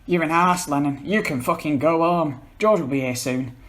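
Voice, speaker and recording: A man voicing a distressed, tearful young man in a story reading, stammering and pleading, quick to swear and sulk. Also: a little high, fairly bright tone, voice pushed up, lightly acted. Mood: angry, hurt. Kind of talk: amateur dramatic reading of a story.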